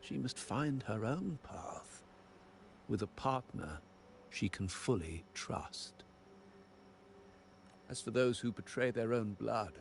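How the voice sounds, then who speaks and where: A man playing a stern aging head of a crime family in a video game, speaking gravely in a gravelly voice. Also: fairly low pitch, a little hiss or hum. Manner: slow, grave, measured. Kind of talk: dramatic video game dialogue.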